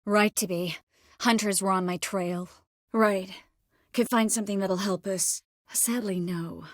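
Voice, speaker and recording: An actress playing a young sorceress in a fantasy video game, caring, wry and passionate, with an expressive, clearly articulated, intimate delivery. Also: female voice, mid-range voice, a little slow, no room sound, clean studio sound, natural voice. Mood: matter-of-fact, wry.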